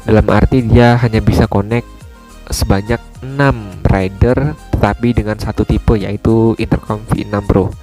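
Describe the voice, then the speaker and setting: A man talks through a product review in an informal, explanatory way. His voice sits fairly low and has a very mellow, dark tone. He restates points for emphasis, with faint music behind him. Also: fairly even intonation, moderate pace. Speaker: motovlogger reviewing gear.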